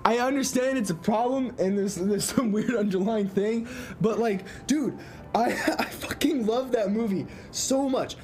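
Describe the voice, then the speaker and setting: A young man co-hosting a podcast in casual chat, animated and swearing freely. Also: sits high, a little slow, a little hiss or hum. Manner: animated, swearing, laughing often. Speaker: podcast co-host.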